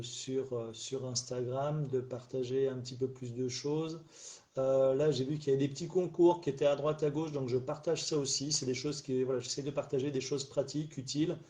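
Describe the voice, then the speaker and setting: Middle-aged man, live-stream host, stuffy from a cold, warm, relaxed tone, halting delivery full of fillers. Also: mid-range voice. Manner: rambling, hesitant, many fillers and restarts. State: slightly stuffy after a cold. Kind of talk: casual solo live chat. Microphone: phone or webcam on a live stream.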